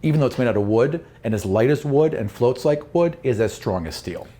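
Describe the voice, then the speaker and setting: A man's voice: mid-range voice, animated, fairly quick, warm tone. A man working as game master, narrating a fantasy tabletop game in a casual, conversational and sometimes profane way.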